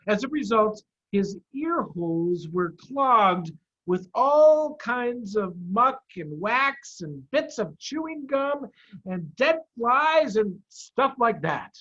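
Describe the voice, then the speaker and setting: A man's voice: extremely high pitch, big pitch swings, takes it slowly, sharp tone, dull audio. A man reading a children's story aloud in a theatrical, emphatic manner. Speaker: storybook reader, school head.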